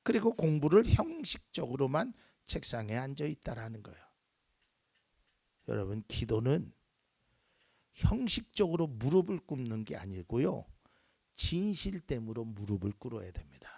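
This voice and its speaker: Man, pastor, preaching, gravelly husky voice, wide lively pitch swings, drops to whispers. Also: moderate pace, no room sound, clean studio sound. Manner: animated preaching, acting out quoted voices, drops to near whispers. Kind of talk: sermon.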